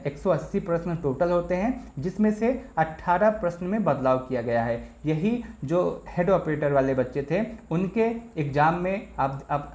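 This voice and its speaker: In an echoey room, a presenter talks at a middling pitch. The delivery is an informal explainer, speaking straight to listeners and going back over points to make them clear. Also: a little mellow. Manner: explanatory, addresses listeners directly, repeats points. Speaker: exam news presenter. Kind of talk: informal explainer on exam results.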